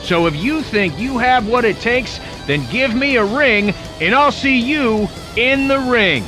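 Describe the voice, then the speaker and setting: An actor playing a washed-up wrestler giving a hammy commercial pitch for his training academy in a video game. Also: expressive, full character voice. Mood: upbeat salesmanship. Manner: salesmanlike, hammy, fast disclaimer at the end. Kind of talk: cheesy local TV commercial pitch. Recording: loud music bed under the voice.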